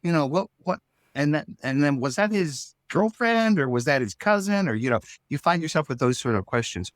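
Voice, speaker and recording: A man talking casually in an interview as a show's writer, rambling and halting as he thinks aloud. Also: fairly high pitch, expressive, no echo, studio quality. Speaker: show writer and creator.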